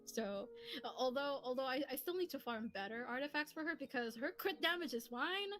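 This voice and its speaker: A woman's voice: sits high, animated, fairly quick, fairly bright tone. A woman hosting a casual stream, chatting and giggling with lots of fillers. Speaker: streamer and host. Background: faint lofi music.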